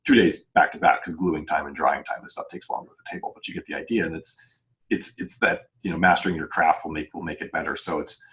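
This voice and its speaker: A man with a deep voice talks at a quick clip in casual podcast conversation. He explains things earnestly, rambling and backtracking to rephrase as he goes. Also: fairly even intonation, fairly bright tone. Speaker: novelist and podcast panelist.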